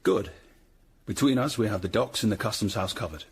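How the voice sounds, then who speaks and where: A tough hired ruffian in a Tudor radio drama. His voice is low and very husky. He talks bluntly and proudly, in a clipped, businesslike way. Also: a little fast. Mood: brisk, conspiratorial. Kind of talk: period radio drama acting.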